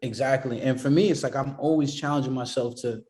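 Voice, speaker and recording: Man, music producer, from Boston area, interview guest, casual rambling talk, many fillers, middle pitch. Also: steady tone, brisk, a little mellow. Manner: laid-back, rambling, many fillers like "you know what I mean".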